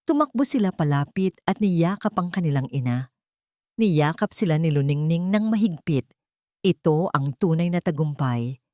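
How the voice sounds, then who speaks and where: A woman's voice: low voice, expressive, normal speed, darkish tone, no room sound, clean studio sound. A woman with a Filipino accent reading a sentimental story as scripted narration.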